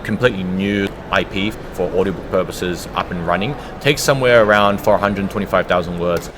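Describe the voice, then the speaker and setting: Low-voiced man explaining in an interview. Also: a little fast, noisy background. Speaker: interview guest, audiobook producer. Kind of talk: conversational interview answers, explanatory.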